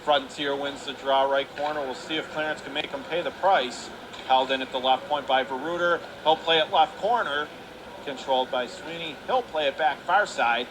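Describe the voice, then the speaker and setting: A male sports commentator with a notably high voice calls a live hockey game. He speaks in short, clipped phrases and lifts into excitement on big plays. Clear rink noise runs behind him. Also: normal speed. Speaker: radio play-by-play commentator. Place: indoor ice hockey arena.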